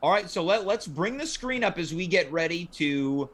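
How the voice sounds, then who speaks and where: A man's voice: high-pitched, animated, moderate pace, a crowd in the background. A man hosting a talk show in a casual, chatty style. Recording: noticeable background noise.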